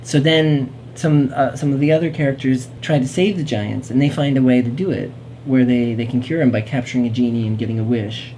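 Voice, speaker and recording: A woman's voice: a bass voice, fairly quick, hardly any pauses, warm tone, a little hiss or hum, low-quality recording. A woman hosting a talk show in casual, playful chat, rambling along in a whimsical way.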